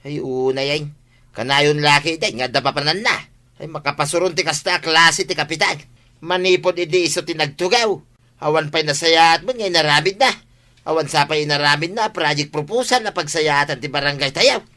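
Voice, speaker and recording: A man's voice: fairly high pitch, normal speed, sharp tone. A man with an Ilocano accent acting an exasperated, grumbling village godfather in a radio comedy drama.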